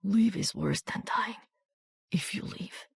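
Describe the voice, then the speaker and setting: Narrator doing a wounded soldier, soft foreign accent, faint whispery murmur, desperate, dry close sound. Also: female voice, mid-range voice, slow pace, no echo, pitched above the performer's own voice, exaggerated voice. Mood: pleading. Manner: weak breathy murmur, pleading.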